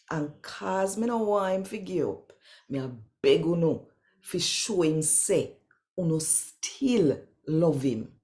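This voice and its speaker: A woman with a Jamaican accent, reading scripture and addressing viewers in an expressive, conversational and emphatic way. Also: lowish voice.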